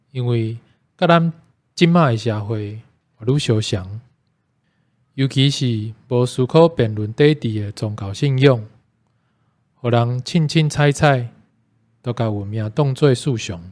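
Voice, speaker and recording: A man with a Taiwanese accent reading a scripted reflection as a book club member. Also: medium pitch. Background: soft plucked guitar music.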